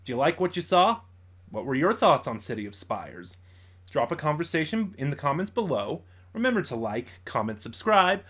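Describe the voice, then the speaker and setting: A man hosting a casual review, talking conversationally and haltingly as he thinks aloud. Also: on the high side, sing-song, a bit bright, slightly rough. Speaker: YouTube reviewer, host. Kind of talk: casual audio drama review commentary.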